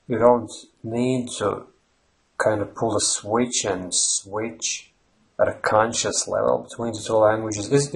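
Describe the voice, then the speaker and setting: A man's voice: fairly low pitch, low-quality recording. A man from Latvia with a Latvian accent, hosting a language-learning channel and explaining at length in a rambling way with fillers and restarts. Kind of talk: unscripted explanatory monologue. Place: Ireland.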